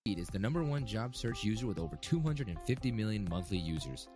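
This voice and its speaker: A man's voice: lowish voice, sing-song, quick pace. A man doing a scripted voice-over for a radio commercial. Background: music bed.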